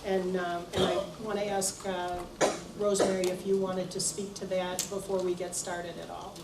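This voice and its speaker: A woman chairing a township planning meeting, speaking with many pauses and fillers. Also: fairly low pitch, little pitch movement, very noisy. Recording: echoey room, distant mic.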